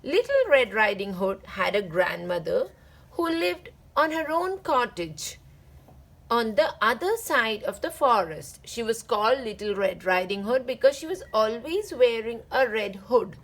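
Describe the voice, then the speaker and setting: Female children's story narrator, very animated and higher-pitched, deliberate drawn-out phrasing. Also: low-quality recording. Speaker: read-aloud channel host.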